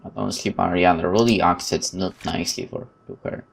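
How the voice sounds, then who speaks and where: A man's voice: deep voice, normal speed, creaky. A man hosting a gaming video, expressively reading out game text and adding his own commentary. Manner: expressive, reads game text aloud with interjections. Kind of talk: let's play narration and commentary.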